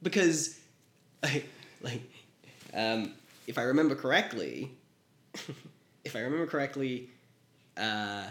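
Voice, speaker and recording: A man's voice: mid-range voice, lively intonation, slow, pauses often, fairly bright tone, reverberant. A man in his late twenties from Belgium, a podcast guest chatting casually and loosely, with lots of "like". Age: late 20s. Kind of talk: casual chat.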